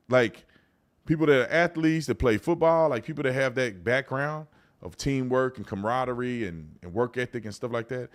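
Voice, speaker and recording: A middle-aged man hosting a live commentary show, chatting casually and colloquially to viewers and reading out their messages. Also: medium pitch. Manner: conversational, colloquial, addressing viewers directly.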